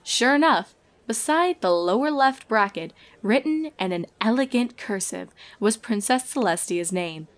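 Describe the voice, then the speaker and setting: A woman's voice: on the high side, lively intonation, a little slow. A woman reading scripted narration of a comic story as the storyteller.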